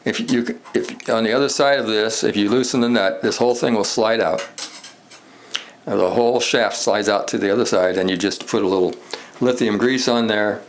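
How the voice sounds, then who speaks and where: Low-voiced man rambling in an echoey room. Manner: rambling, halting, thinks aloud. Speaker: hobbyist presenter demonstrating a vintage radio repair. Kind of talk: casual unscripted show-and-tell. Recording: echoey room with background noise.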